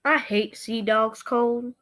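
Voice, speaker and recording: A woman's voice: a little high, steady tone, unhurried, a bit bright. A teenage girl acting a bossy, menacing male gang boss in a student radio play. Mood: sly, casual. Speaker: student actor.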